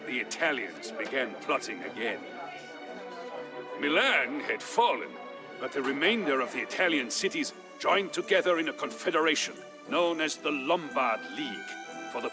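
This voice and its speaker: A man's voice: mid-range voice, expressive, moderate pace, music in the background. A man narrating history for a game in a grave, theatrical storytelling manner, as dramatic scripted narration.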